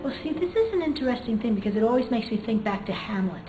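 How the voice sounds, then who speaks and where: A woman's voice: on the high side, animated. A woman English teacher reading and explaining literature informally, in a thoughtful way. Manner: thoughtful, hesitant, pauses often to find words. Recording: echoey room with music behind.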